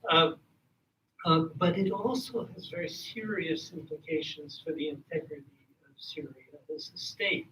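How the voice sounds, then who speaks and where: A man speaking on a policy panel in a measured way with dry humor. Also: a little high, a bit bright. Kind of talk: panel discussion. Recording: distant mic, faint room noise.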